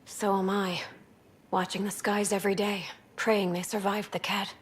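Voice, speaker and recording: A woman's voice: medium pitch, flat delivery, moderate pace, close to an everyday voice. A woman playing a weary alien junior officer, a refugee survivor, in video game cutscene dialogue.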